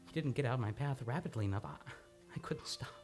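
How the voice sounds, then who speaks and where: An actor playing an earnest, tender man haunted by returning memories, with halting, dramatic delivery in an old-time radio play. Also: mid-range voice, natural voice. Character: haunted male amnesia patient recovering his memory, tender and earnest. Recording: studio radio play with music behind.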